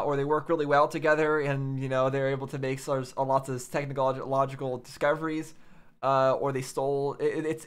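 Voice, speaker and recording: A man's voice: a little high, fairly bright tone. A man giving casual, off-the-cuff reaction commentary, musing aloud in a puzzled, questioning way. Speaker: reaction video host.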